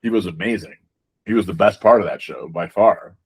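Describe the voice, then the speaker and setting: A man being interviewed as a game designer, talking casually and haltingly, often repeating words as he explains. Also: low voice, slightly rough.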